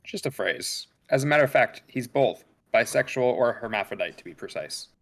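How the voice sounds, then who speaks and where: A man's voice: mid-range voice, lively intonation, normal speed, creaky. A man playing a cool, pedantic science officer on a spaceship, precise and clinical in a scripted read.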